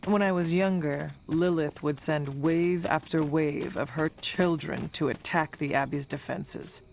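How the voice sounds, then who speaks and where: A woman playing an earnest, solemn warrior heroine in a video game, speaking in a formal, measured way. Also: low-pitched, fairly even intonation, gravelly, a little mellow, big-room sound, slight background noise. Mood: reflective. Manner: formal, measured, avoids contractions. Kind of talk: video game character acting.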